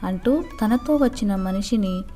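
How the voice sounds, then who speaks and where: A woman's voice: mid-range voice, lively intonation, measured pace, dark tone, faint music behind. A woman reading a scripted moral story for children as a storyteller.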